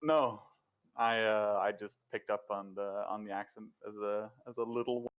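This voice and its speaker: Smooth-voiced Danish man, American accent. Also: on the low side, fairly even intonation, normal speed, fairly bright tone, muffled recording. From Denmark. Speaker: guest. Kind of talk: casual chat.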